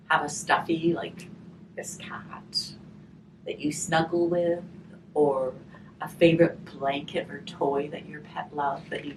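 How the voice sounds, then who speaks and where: A woman reading a picture book aloud slowly and expressively, pausing to chat and put questions to her listeners. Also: deep voice. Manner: slow, expressive reading with chatty questions to the audience. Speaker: hospice volunteer reading a picture book to children. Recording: distant mic, faint background noise.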